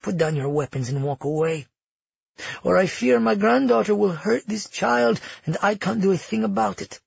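Man voicing a calmly menacing, high-pitched old grandmother. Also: vocal fry, husky, no echo, lo-fi sound, heavily acted. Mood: threatening. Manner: calm, measured, menacing sweetness. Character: Neela, cold, manipulative elderly royal grandmother, falsely tender.

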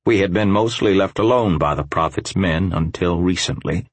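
Man acting older male defector, audiobook character, solemn, deliberate, deep, roughened husky tone. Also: no room sound. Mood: solemn, restrained anger. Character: older male religious defector, grave and measured.

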